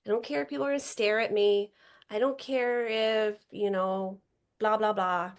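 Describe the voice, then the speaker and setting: A woman's voice: medium pitch, fairly even intonation, normal speed, clear, resonant tone. A woman in her forties, a podcast guest chatting casually, upbeat and talkative, often trailing off and restarting her thoughts. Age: 40s. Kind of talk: casual chat.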